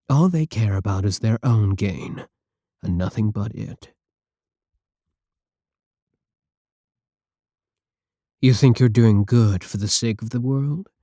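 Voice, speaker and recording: A man's voice: lowish voice, darkish tone, dry recording, clean studio sound. A man playing a possessive, seductive supervillain in an ASMR audio roleplay, with theatrical, condescending endearments and an idealistic contempt for the world. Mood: scornful, condescending.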